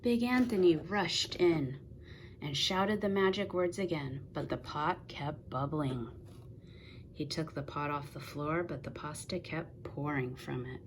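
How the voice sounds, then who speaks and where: A woman reading a children's story aloud with expressive storytelling delivery. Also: low voice, measured pace, a little hiss or hum. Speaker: storybook reader.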